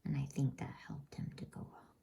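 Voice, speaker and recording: A woman voicing an earnest eldest boy from a storybook, awkward and contrite, in a hesitant, breathy whisper. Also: moderate pace, mellow, close to an everyday voice.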